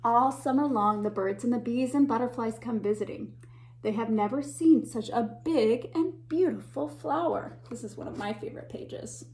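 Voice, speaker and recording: A woman teacher reading a picture book aloud to children, pausing to point out the pictures and dropping to a whisper or a gasp at tense moments. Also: a little high. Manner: animated, gasps and whispers for suspense, pauses to point out pictures. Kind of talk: expressive read-aloud storytime.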